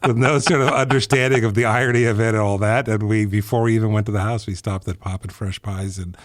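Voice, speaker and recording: A man's voice: lowish voice, steady tone, fast, no room sound, studio quality. A middle-aged man from Ethiopia, a physician turned executive, answering interview questions in a thoughtful, meandering way with frequent restarts. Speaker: physician and business executive guest.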